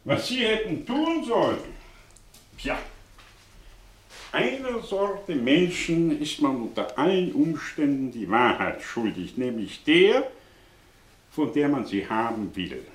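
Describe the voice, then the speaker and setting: A man's voice: on the high side, sing-song, takes it slowly. An actor playing a cynical, condescending male professor in a radio drama, with a pompous, sardonic and theatrical delivery. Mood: lecturing. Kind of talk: German radio drama acting.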